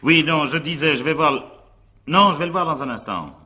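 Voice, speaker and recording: An actor playing a brisk, impatient male magistrate in a French radio drama, with an authoritative, clipped and dryly ironic way of questioning. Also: on the high side, lively intonation, sharp tone. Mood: deferential, businesslike.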